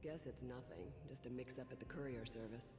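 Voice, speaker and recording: An actress playing a gentle, affectionate young wife, musing lightly over her diary, heard through a reverb effect. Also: very deep voice, fairly quick, over music. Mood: musing, fond. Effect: long reverb, as a remembered voice. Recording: echoey long reverb.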